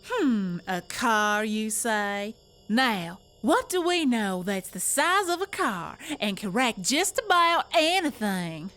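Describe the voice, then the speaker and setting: A woman's voice: a little high, sing-song, slow pace, fairly bright tone, faint music behind, heavily acted. A woman playing a bubbly, coy and sly heroine with a drawling manner in an audio drama.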